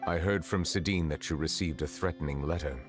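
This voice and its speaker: Deep, solemn dragon lord. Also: male voice. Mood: serious. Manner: formal, measured, grave. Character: solemn, dignified dragon lord, adult male official. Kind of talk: anime video game voice acting. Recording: faint music behind the voice.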